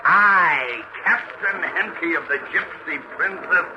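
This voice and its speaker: An actor playing a mad, obsessed and menacing sea captain in theatrical 1940s radio melodrama. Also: male voice, fairly high pitch, slow pace. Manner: theatrical, intense, ominous. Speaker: actor in a radio mystery drama. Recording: dull, noisy 1940s radio recording.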